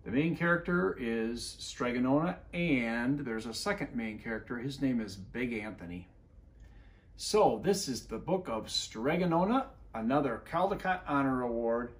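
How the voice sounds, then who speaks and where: A man in his fifties reading a picture book aloud for children, expressive and pausing to explain things. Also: medium pitch. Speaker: school superintendent reading a storybook. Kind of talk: children's story time read-aloud. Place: living room armchair by a fireplace, indoors.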